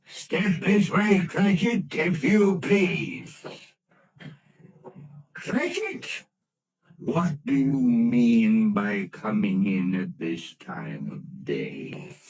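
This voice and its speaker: An actor playing an old miser turned joyful in a vintage radio play, swooping from gruff growls to high excited bursts. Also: male voice, extremely slow, heavily acted, poor audio. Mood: mock stern. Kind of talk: 1940s radio acting. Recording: old radio broadcast with music.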